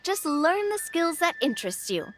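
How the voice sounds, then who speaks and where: Bright-spirited, high-voiced playful lady. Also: big pitch swings, normal speed, faint music behind, heavily acted. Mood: mischievous. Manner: breezy, persuasive, cheerful. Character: confident, playful, breezy young woman, veteran player. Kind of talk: anime game voice acting.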